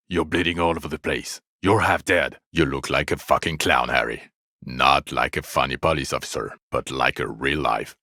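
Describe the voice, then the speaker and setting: A man's voice: very deep voice, sharp tone, dry recording, full character voice. A man voicing a jaded, foul-mouthed police detective in a video game, with dry, biting sarcasm and exasperation. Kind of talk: video game character voice acting.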